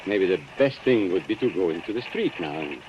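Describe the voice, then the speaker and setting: A man's voice: low-pitched, expressive, brisk. A man acting in an old-time radio thriller as a smug, taunting shopkeeper and blackmailer, suave, pompous and mocking. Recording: muffled vintage radio, faint noise.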